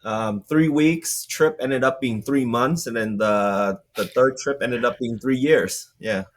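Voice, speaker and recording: A man in his forties from Vietnam, a guest entrepreneur talking casually in an interview, relaxed and rambling with many fillers. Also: mid-range voice, takes it slowly.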